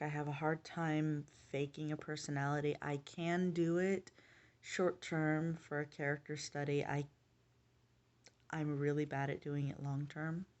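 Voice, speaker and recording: Low-voiced woman giving earnest opinions. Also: warm tone, muffled recording. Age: late 20s. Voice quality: low-pitched voice. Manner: earnest, measured, thinking aloud with pauses. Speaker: vlogger. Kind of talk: casual opinion vlog.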